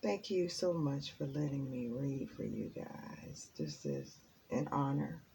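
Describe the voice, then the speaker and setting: A woman giving a casual spiritual tarot reading in a raspy, just-woken voice, rambling and earnest with many ums. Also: rumbling low, lively intonation, takes it slowly, warm tone, slight background noise. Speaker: tarot reader.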